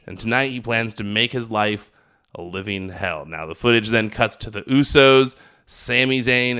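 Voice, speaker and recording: A man's voice: medium pitch, fairly bright tone, clean tone. An American man hosting a podcast, giving a casual, chatty recap with enthusiastic, emphatic delivery. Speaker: podcast host. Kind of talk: casual solo recap commentary on wrestling.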